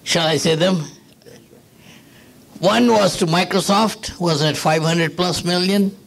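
A man's voice: high voice, slow, creaky, a bit bright. A man who organizes the group, introducing the guest speaker in a rambling way, with lots of restarts and open enthusiasm.

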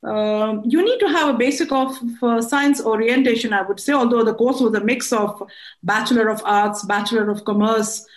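A woman's voice: on the high side, moderate pace, roomy sound. A middle-aged woman with an Indian accent giving formal, respectful answers as a guest in a conversational interview. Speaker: interview guest, air force air traffic control officer.

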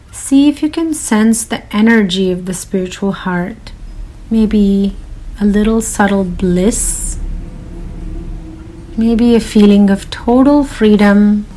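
A woman leading a guided meditation in a soothing, unhurried manner with long pauses. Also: medium pitch, dark tone, low-quality recording.

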